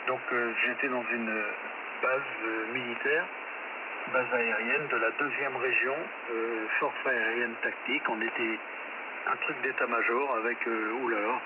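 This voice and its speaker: A man, chatting casually as an amateur radio operator over shortwave, rambling hesitantly with frequent pauses and self-corrections. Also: medium pitch, steady tone. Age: 70s. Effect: shortwave radio transmission. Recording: narrow-band shortwave radio with loud static.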